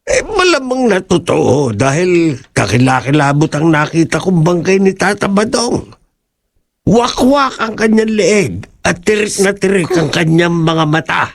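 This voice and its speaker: Man playing a husky, grave village father. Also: high voice, sing-song, a little slow, dry recording, clean studio sound, heavily acted. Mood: grim dread. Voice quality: husky, creaky. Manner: grave, emphatic. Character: grave, fearless village father standing against evil. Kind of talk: horror radio drama acting.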